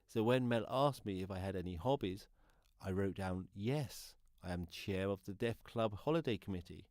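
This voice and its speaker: A man's voice: low-pitched, normal speed, darkish tone, dry recording, clean studio sound, lightly acted. An actor playing a shy, earnest deaf man who confides his story in a radio play monologue. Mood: wistful, earnest.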